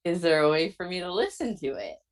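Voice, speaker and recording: A woman's voice: lowish voice, expressive, quick pace. A woman hosting a podcast in casual interview chat, effusive and informal, often saying "like". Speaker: podcast host.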